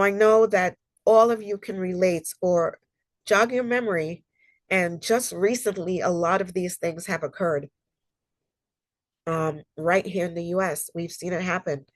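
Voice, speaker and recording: A woman with a lowish voice presents over a video call, sounding slightly nasal as she gets over a cold. Her talk is earnest and conversational, dotted with ums. The sound is dry and close, with no room echo. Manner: earnest, conversational, with frequent ums and personal stories. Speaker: human rights consultant and presenter. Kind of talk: online library presentation.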